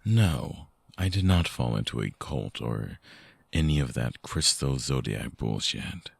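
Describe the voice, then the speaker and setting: A man playing a remorseful, lovesick vampire ex-boyfriend in an intimate ASMR roleplay, husky and breathy, hesitant and pleading, close on the mic. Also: a bass voice, animated, unhurried, heavily acted. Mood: guarded, earnest. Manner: hesitant, soft-spoken, pleading. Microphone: close binaural microphone, dry.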